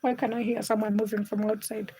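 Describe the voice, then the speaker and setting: A woman's voice: medium pitch, little pitch movement, fairly quick, mellow, breathy edge. A young woman from Uganda and Kenya hosting a casual livestream, reading out viewers' comments and teasing them by name. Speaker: livestream host.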